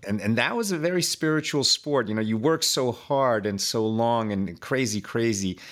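A man's voice: medium pitch, normal speed, a bit bright. A man in his fifties from Chile, a podcast guest telling his life story in a loose, conversational way, full of fillers and repeated words. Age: 50s.

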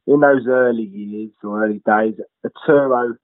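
A man's voice: lowish voice, normal speed, dry recording. A man chats through a boxer's story, loose and conversational, often restarting his sentences. His voice comes through a narrow, phone-like line. The sound is close and dry, with no room around it. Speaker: co-presenter. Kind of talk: casual documentary commentary.

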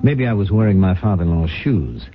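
An ambitious businessman in a vintage radio play, low in pitch with lively intonation. He is brash and self-justifying, always selling. The recording is dull, like old broadcast radio. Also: fairly quick, music in the background. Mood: brooding. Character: ambitious, defensive, brash male paint-company boss. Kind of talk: 1970s radio drama acting and first-person narration.

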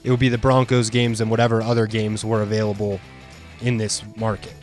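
Measured male radio co-host explaining news. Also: lowish voice, monotone, a little mellow, faint music behind. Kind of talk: reading news items, then casual banter. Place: radio studio.

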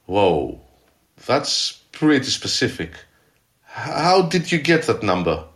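An earnest, awestruck scientist in an audio drama, acted by a man. He speaks with emphatic enthusiasm at a middle pitch in an echoing room. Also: big pitch swings, takes it slowly, fairly bright tone, full character voice. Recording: roomy, echoing sound.

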